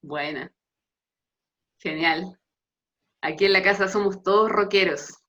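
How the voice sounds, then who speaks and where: A woman's voice: medium pitch, slow, a bit bright. A woman in her thirties with a Chilean Spanish accent, a pastor speaking casually and chattily as she leads an informal Bible talk on a video call. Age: 30s. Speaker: pastor leading a youth meeting. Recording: dull, lo-fi video call audio.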